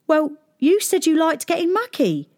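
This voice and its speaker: A woman's voice: extremely high pitch, big pitch swings, moderate pace, a little mellow, dry recording, clean studio sound, voice pushed up, heavily acted. A woman voicing a cheeky, friendly shepherd boy in a storybook. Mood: cheerful. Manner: easygoing, teasing.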